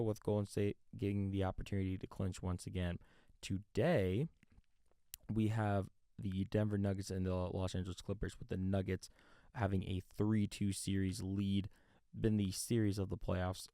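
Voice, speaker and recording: A man hosting a sports show with unscripted commentary, often halting and rephrasing mid-sentence. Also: sits low, vocal fry, mellow, no echo, studio quality.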